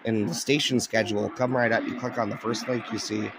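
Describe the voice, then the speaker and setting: Low-voiced American man over loud radio static. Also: steady tone, fast talker. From United States. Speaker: shortwave radio hobbyist narrating a video. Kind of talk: casual off-the-cuff commentary. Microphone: handheld cell phone. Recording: noisy phone recording with radio static. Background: shortwave radio static and broadcasts.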